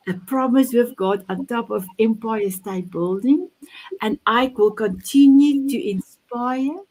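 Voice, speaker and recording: Older South African woman, animated storyteller. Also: fairly high pitch, low-quality recording. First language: Afrikaans. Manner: animated storytelling, halting restarts. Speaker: guest. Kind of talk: casual chat.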